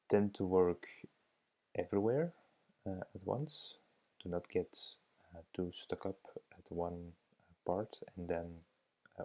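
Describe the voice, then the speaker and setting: Low, dark-voiced Belgian man, hesitant. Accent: Belgian Dutch. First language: Dutch. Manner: hesitant, many fillers, thoughtful and unhurried. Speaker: drawing teacher. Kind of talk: voice-over commentary on a drawing video.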